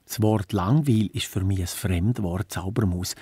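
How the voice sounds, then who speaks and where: A man's voice: lowish voice, measured pace, warm tone, slightly rough, dry recording. A man with a Swiss accent voicing an intellectual, pompous, easily annoyed earthworm in a children's radio play. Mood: weary, smug. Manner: pompous, precise, long-suffering.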